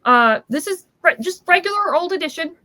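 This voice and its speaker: A woman's voice: very high-pitched, expressive, brisk, pushed and bright, dry recording. A woman chatting informally about books as a panelist. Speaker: book discussion panelist. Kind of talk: casual chat about books.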